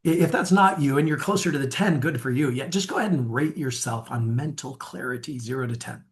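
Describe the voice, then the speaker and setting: A man's voice: mid-range voice, fairly quick. Upbeat male coach leading a webinar. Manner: upbeat, asks viewers to rate themselves. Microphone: webinar mic.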